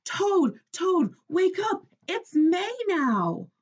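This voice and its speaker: An eager, cheerful male frog from a children's storybook, as a woman reader plays him. The voice is high and swoops about as he coaxes and insists, on a slightly dull recording. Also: sing-song, drawn-out words, full character voice. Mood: excited, urgent. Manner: bright, insistent, coaxing. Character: eager, cheerful male frog urging his friend awake.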